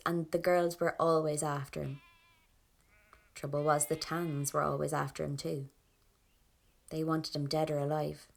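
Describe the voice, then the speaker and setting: Low, gravelly grieving Irish woman. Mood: fond, wry. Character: grieving, stubborn Irish woman from a 1920s rebel family. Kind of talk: first-person audio drama monologue.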